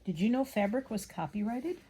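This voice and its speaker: A woman chatting casually as she hand stitches, rambling and absent-minded, muttering to herself, with a slightly gravelly voice. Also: mid-range voice, expressive, brisk, warm tone, slight background noise. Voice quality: slightly gravelly from a frog in the throat. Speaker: craft vlogger.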